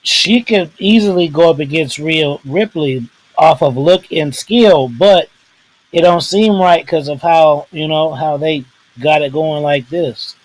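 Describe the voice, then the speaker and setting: A man co-hosting a casual wrestling chat, rambling and halting in a laid-back way. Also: a little high, poor audio. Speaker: podcast co-host.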